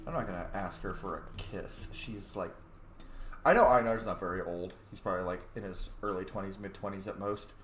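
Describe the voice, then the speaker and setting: A young man casually commenting on a video game as he plays, muttering to himself with excited bursts. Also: low voice, fairly quick, slight background noise. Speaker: gamer. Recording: echoey room.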